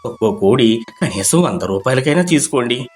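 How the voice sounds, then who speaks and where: A man's voice: mid-range voice, expressive, normal speed, soft background music, close to an everyday voice. A man voicing a humble, anxious village poultry farmer with a respectful, pleading manner in a moral story.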